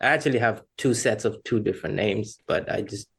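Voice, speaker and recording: A man's voice: fairly low pitch, brisk, gravelly, husky. A man from Rwanda chatting casually as a podcast guest, candid and self-deprecating. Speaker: podcast guest, freelance creative. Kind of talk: casual personal chat.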